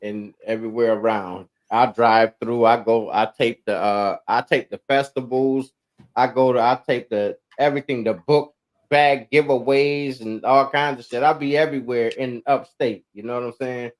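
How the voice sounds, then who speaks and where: A man's voice: medium pitch, steady tone, moderate pace. A man hosting a casual interview, agreeable and slangy. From upstate New York. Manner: laid-back, agreeable, slangy. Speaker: host.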